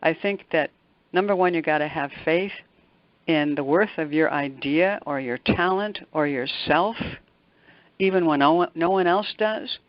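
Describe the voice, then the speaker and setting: A woman's voice: sits low, measured pace, dry recording, muffled recording. An older woman giving conversational interview answers as a motivational coach, emphatic and fond of repeating words for stress. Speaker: motivational speaker and executive coach, interview guest.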